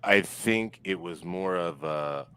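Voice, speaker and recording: A man's voice: low-pitched, slow pace, fairly bright tone, clean tone. A man giving opinions in a casual sports discussion, very informal and peppered with filler words. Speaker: podcast guest.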